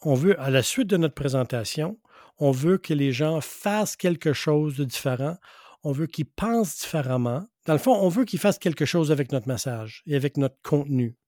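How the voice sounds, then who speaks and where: A man's voice: fairly high pitch, animated, normal speed, darkish tone, no room sound, studio quality. A middle-aged man from western Canada whose first language is English, hosting a solo podcast as a public speaking coach. He talks earnestly and emphatically, repeating key phrases for weight.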